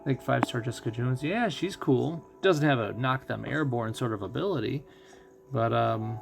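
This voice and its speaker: Male game streamer, mid-range voice with vocal fry, casual think-aloud commentary over background music. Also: medium pitch, normal speed. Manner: musing, thinking out loud. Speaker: gaming streamer.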